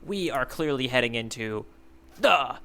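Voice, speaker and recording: A man's voice: mid-range voice, expressive, unhurried, bright, forceful tone. A man co-hosting a podcast in casual comedic chat, often repeating words as he thinks aloud and playfully mock-solemn. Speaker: podcast co-host.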